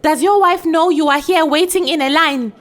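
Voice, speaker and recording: A woman voicing a defiant, angry young woman in a story reading, scornful and forceful. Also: high voice, normal speed, some chatter behind, pitched above the performer's own voice, natural voice. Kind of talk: acted dialogue in a short story reading.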